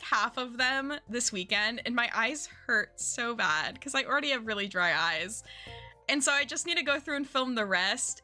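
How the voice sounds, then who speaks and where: A woman's voice: on the high side, pushed and bright. Chatty, phlegmy young woman streamer. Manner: chatty, giggly, self-deprecating. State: slightly phlegmy, lingering cough. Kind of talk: casual stream chat.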